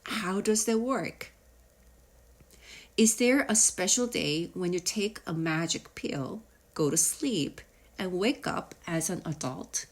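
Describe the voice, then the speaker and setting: A woman narrating a children's nonfiction audiobook in a measured, explanatory way with deliberate pauses. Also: fairly low pitch. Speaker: audiobook reader.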